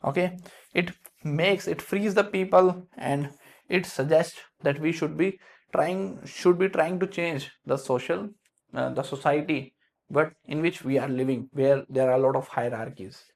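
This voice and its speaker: A male literature teacher speaks English with an Indian accent shaped by years in South India. His voice sits fairly high, with a gravelly, fry-touched texture. He explains concepts conversationally, full of fillers and self-corrections. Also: unhurried. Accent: Indian English with South Indian influence. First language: Hindi. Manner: explains in loose spoken English with many fillers and restarts. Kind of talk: explanatory lecture.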